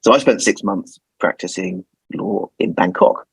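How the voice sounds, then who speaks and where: A man's voice: deep voice, measured pace, creaky, husky, no echo, poor audio. A man in his forties from London with a London accent, answering interview questions thoughtfully and often repeating words as he finds his phrasing. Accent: London English. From London, England. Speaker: guest lawyer.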